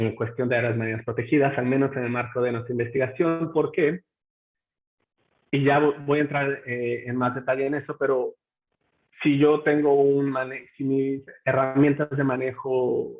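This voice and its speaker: A man, a marine researcher, giving an online academic presentation from home in a measured, explanatory way, pausing to find his words. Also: mid-range voice, gravelly. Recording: tinny phone-like webinar audio.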